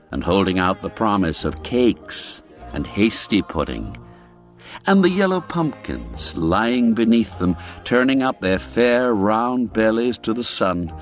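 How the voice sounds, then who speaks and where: A man narrating a classic literary tale in a theatrical, savoring, ornate storytelling style. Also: on the low side. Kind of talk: scripted literary audiobook narration. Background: background music.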